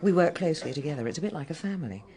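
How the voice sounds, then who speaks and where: A poised Englishwoman in a naturalistic radio play, confiding and regretful. Her voice moves widely in pitch, with careful phrasing and small hesitations. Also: lively intonation, rapid-fire, dark tone. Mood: guarded, candid. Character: adult female office colleague, confiding, regretful, gently forward. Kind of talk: naturalistic British radio drama acting.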